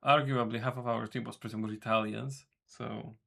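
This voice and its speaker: A man with a gravelly, creaky voice sits at his desk mic and chats loosely with his stream viewers. His pitch sits squarely in the middle, and he rambles, restarts his sentences and drops swear words without a thought. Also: fairly even intonation, moderate pace, a bit bright. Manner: rambling, self-correcting, casual swearing. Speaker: game developer streaming. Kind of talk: casual chat with stream viewers. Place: home room.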